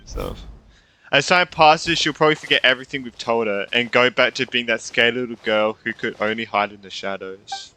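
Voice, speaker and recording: A man's voice: mid-range voice, moderate pace, sharp tone, rough recording. A young man doing casual let's play commentary, slangy and thinking aloud as he reacts to a game's story. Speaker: gamer playing through a story quest.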